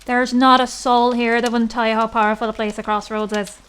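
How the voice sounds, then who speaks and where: A woman's voice: a little high, flat delivery, fairly quick, a bit bright, smooth, close to an everyday voice. Actress as a foreboding village woman. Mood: ominous warning. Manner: folksy, emphatic, warning. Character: earnest, foreboding Irish village storytelling woman. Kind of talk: audio drama acting. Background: music.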